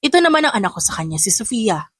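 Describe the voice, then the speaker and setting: A woman's voice: a little high, sing-song, fast talker, husky, no echo, studio quality. A woman voicing a serious, stern middle-aged father who speaks firmly in an audio story. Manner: firm, commanding.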